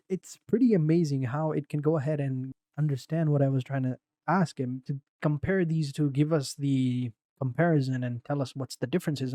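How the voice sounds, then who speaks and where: Mellow-voiced man, relaxed tutorial talk. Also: mid-range voice, no room sound. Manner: relaxed, rambling, repeats "go ahead". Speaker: tutorial presenter. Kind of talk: casual screen-recording walkthrough.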